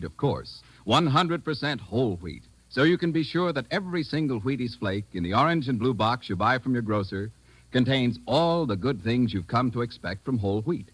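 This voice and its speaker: A man's voice: mid-range voice, husky, no echo. An American man announcing in a hearty, salesmanlike 1950s radio commercial style. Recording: vintage 1950s radio recording.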